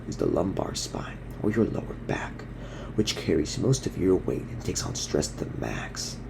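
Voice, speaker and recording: A man voicing a laid-back gorilla surfer dude who teaches in slang, in a gravelly, breathy half-whisper for an ASMR parody. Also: deep voice, noisy background, exaggerated voice. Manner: surfer slang, soothing instructional delivery.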